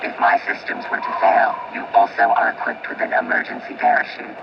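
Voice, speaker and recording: A man playing a formal, unemotional robotic flight engineer and bodyguard in a radio play, with a robot filter on the voice. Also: fairly quick. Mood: calm, reassuring. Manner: formal, precise, unemotional machine-like phrasing. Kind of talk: audio drama acting. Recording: distant, muffled, very noisy with vehicle rumble.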